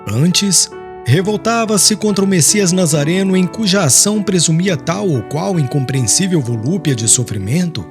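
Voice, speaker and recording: A man narrating a literary audiobook in a solemn, expressive, scripted manner. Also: sits high, normal speed. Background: music.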